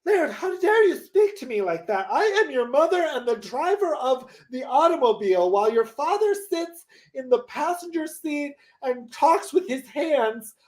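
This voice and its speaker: A man's voice: very high voice, very animated, moderate pace. A man voicing an indignant, haughty mother in improvised sketch comedy. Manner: haughty, emphatic, scolding. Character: mother, indignant wife who parrots her husband.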